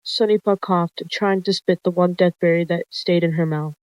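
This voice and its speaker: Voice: medium pitch, steady tone, normal speed, darkish tone, smooth, no room sound. A narrator reading a roleplay story dramatically, describing the characters' actions, on a lo-fi recording. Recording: dull lo-fi close dry recording.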